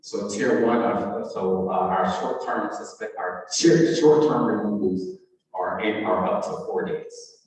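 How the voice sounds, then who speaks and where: A man's voice: lowish voice, measured pace, darkish tone. A man giving a formal, explanatory presentation as a school district administrator at a meeting. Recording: very echoey, reverberant hall, distant mic.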